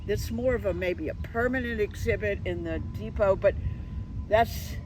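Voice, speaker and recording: Woman interviewer over clear street noise. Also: mid-range voice, moderate pace. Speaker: interviewer and host. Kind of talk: unscripted live interview, chatty.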